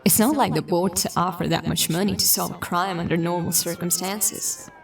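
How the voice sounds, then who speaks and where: An actress playing a concerned, businesslike detective, speaking conversationally in an audio drama. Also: female voice, fairly low pitch, moderate pace, gravelly, far from the mic. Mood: uneasy, musing.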